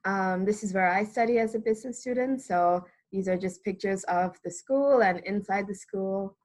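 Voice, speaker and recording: A woman's voice: medium pitch, moderate pace, darkish tone. An eighteen-year-old woman from Ireland presenting a webinar as a student, upbeat and promotional.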